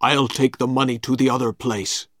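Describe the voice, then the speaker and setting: A man reading a storybook aloud, voicing a cold, menacing villain who speaks in a low, measured way. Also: flat delivery, a bit bright, no room sound, clean studio sound, close to an everyday voice. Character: menacing male villain Injun Joe, vengeful.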